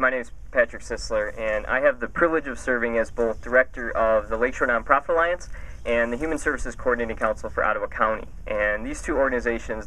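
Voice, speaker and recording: A man, a nonprofit director, giving informative public remarks outdoors, measured and emphatic on figures. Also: medium pitch, bright, forceful tone, a little hiss or hum, low-quality recording. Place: outdoors at a house build site.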